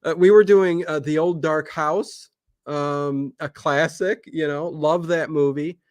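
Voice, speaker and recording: An American man from Cleveland chatting casually as a livestream guest, excitable, often repeating himself and interjecting. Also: fairly high pitch, slow, dry recording, clean studio sound. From Cleveland, United States. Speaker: YouTube channel host, interview guest.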